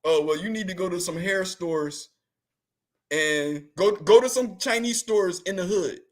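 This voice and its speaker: A man's voice: high-pitched, measured pace. A man hosting a show, chatting casually and comically, slangy and rambling, often laughing. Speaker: host. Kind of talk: comedic casual chat.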